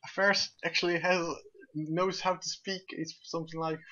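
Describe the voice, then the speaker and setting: A man's voice: on the high side, little pitch movement, normal speed, pushed and bright, dull audio, slight background noise. A man with a slight Dutch accent, chatting casually while hosting a game stream, rambling and laughing as he goes. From Netherlands. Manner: chatty, laughs easily, self-corrects. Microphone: computer mic on a call.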